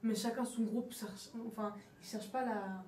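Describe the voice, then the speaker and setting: A high school girl in her late teens cutting into a group discussion bluntly. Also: steady tone, darkish tone, recorded from a distance. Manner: quick, blunt, cuts in. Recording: echoey room with noise.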